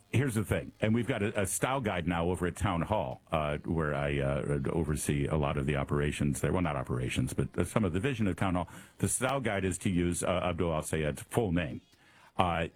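Sarcastic middle-aged Midwestern man, husky voice. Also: low voice, brisk. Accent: Midwestern American. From American Midwest. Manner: sarcastic, mocking exaggerated pronunciations, repeats words when starting. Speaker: radio and podcast host. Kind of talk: opinionated political talk show monologue.

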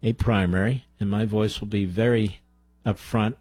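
A man's voice: lowish voice, fairly even intonation, moderate pace, a little mellow, husky, no echo. A man hosting a call-in talk radio show, speaking emphatically and speeding up in bursts. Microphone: studio microphone.

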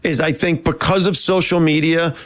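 A man's voice: a little high, fairly even intonation, moderate pace, fairly bright tone. A man hosting a casual panel chat, telling stories animatedly with jokey asides. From United States. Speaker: host.

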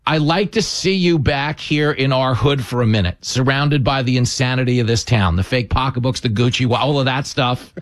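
A man's voice: medium pitch, normal speed, hardly any pauses. A man with a New York accent hosting a casual interview, full of slangy, jokey banter. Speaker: interview host.

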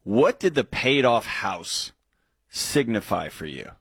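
A man's voice: lowish voice, sing-song, slow, a bit bright, breathy edge, no echo, studio quality. A man in his forties co-hosting an advice call-in show, talking earnestly and reflectively with frequent restarts. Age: 40s. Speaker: co-host.

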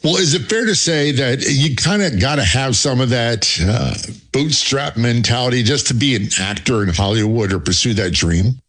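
Husky-voiced talk radio host. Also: male voice, medium pitch. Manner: steady, measured interviewer with frequent fillers.

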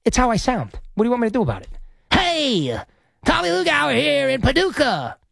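A man hosting sports talk radio in a studio, with a high-pitched voice and an opinionated, cocky, braggadocious way of talking. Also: big pitch swings, brisk, creaky, a bit bright, no room sound.

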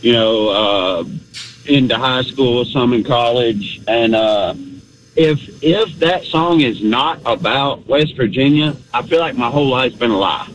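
A man's voice: mid-range voice, unhurried, muffled recording. A man, calling a radio show and chatting casually, repeating words as he goes. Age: 30s. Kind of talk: casual phone-in chat. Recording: noisy call into radio show.

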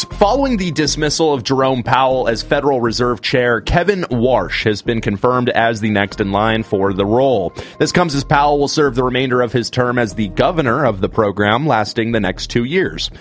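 A man in his early twenties hosting, chatting casually with wry asides and moving into headline coverage. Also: medium pitch. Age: early 20s. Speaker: host. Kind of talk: casual chat and headline reading.

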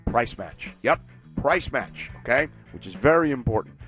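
A man hosting a sports talk show, talking with emphatic, animated delivery. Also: medium pitch, moderate pace, soft background music. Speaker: sports talk radio host. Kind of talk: sports talk and live read ad.

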